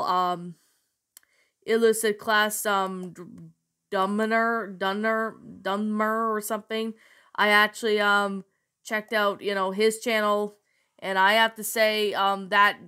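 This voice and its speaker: A woman's voice: mid-range voice, sharp tone. A woman with a bad cold reading a creepy story, then chatting about it in a rambling way with many fillers. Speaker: creepypasta narrator. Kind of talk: storytelling narration then casual review.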